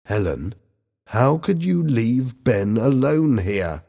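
Man voicing an exasperated, animated teenage girl. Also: medium pitch, a little fast, voice pushed up. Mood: reproachful. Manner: challenging, exclaiming.

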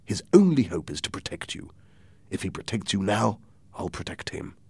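A man voicing a calm, principled doctor in a storybook reading. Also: lowish voice, moderate pace, breathy edge, dry recording, full character voice. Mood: low, serious.

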